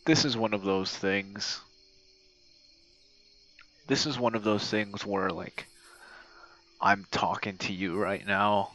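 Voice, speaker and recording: A man voicing an old gamer buddy, lonely and self-effacing, in a halting, sweary, casual voice message. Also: on the low side, steady tone, fairly bright tone, poor audio. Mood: wistful, tender. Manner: rambling, halting, frequent swearing. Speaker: audio roleplay performer. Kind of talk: intimate ASMR roleplay voice message, rambling casual talk. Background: night crickets and insects.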